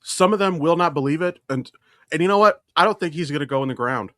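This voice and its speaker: A man's voice: a little high, quick pace, sharp tone, no echo, clean studio sound. A man co-hosting a podcast, talking casually and opinionatedly in a blunt, profane way. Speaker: podcast co-host.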